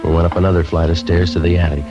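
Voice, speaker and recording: An American man playing a terse police detective who narrates a 1950s radio drama in a clipped, deadpan way. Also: deep voice, rapid-fire, natural voice. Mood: matter-of-fact narration. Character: terse, businesslike male police detective sergeant. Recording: dull 1950s radio recording with music.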